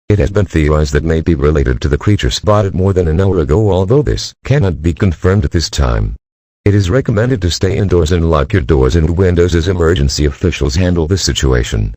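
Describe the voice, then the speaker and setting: A man's voice: a bass voice, darkish tone, breathy edge, no echo, heavily acted. A man voicing an impersonal emergency alert announcer, reading a scripted broadcast in a formal, robotic delivery.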